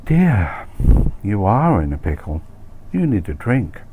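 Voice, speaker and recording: A man's voice: on the low side, very animated, takes it slowly, warm tone, slight background noise, full character voice. A man voicing a chatty, nosy middle-aged expat wife who dispenses worldly, condescending advice in a comic story reading. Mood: patronizing. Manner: gossipy, cliché-laden, condescending advice-giving. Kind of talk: acted dialogue in comic short story reading.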